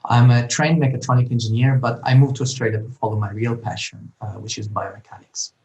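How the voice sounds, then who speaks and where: A man's voice: mid-range voice, fairly even intonation, fast talker, darkish tone. A young man from Italy with an Italian accent, earnestly presenting his research over a video call, restarting and repeating words. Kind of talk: online pitch presentation. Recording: roomy video call audio.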